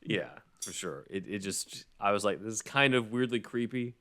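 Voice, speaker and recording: A man with a fairly low voice hosts a relaxed podcast discussion. He talks conversationally and often chuckles between phrases. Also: normal speed, a crowd in the background. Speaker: podcast host. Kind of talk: casual chat with read-aloud summary.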